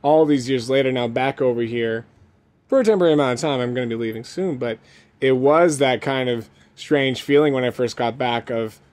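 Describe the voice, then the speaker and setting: An American man hosts a relaxed, informal interview. His voice is of average male pitch. He talks in a chatty, effusive way and is supportive of his guest. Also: fairly quick. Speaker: interview host. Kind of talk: casual chat.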